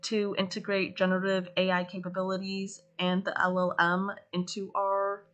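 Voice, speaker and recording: A female student presenting her group's solution, going through the features in an even, methodical way. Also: medium pitch, normal speed, lo-fi sound. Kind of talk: class project presentation.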